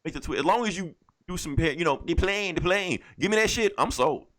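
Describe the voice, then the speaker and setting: Animated, joking man bantering about movies. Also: sits high, a little fast. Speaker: podcast co-host.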